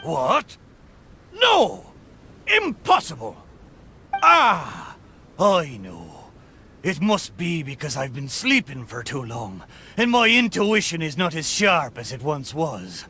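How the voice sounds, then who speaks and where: Animated, blustering boat. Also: male voice, fairly high pitch, a little hiss or hum, full character voice. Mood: surprised, flustered. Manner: blustering, drawn-out exclamations, pirate talk. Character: talking pirate boat, hearty, excitable old seafarer. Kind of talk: theatrical video game character acting.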